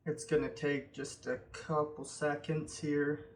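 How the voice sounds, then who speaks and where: Slow, unhurried man walking through steps. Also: a little high, level tone, slight background noise. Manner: unhurried step-by-step explaining with fillers. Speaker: tech tutorial host. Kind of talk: screen-recorded how-to walkthrough.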